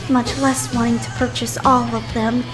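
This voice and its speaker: An actress voices a flustered female store manager in an audio drama. She is formal and placating, with a high voice. Music sits underneath. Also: flat delivery, moderate pace, lightly acted, low-quality recording.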